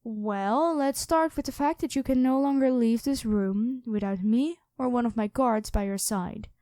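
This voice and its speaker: An imperious dragon queen in human form, acted by a woman, speaks in a fairly high, mellow voice. She is regal and condescending, laying down terms with calm menace. It is an intimate ASMR roleplay, recorded very dry. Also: a little slow, no room sound, close to an everyday voice. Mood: firm, warning. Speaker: voice actor in an audio roleplay. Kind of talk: ASMR roleplay monologue addressed to the listener.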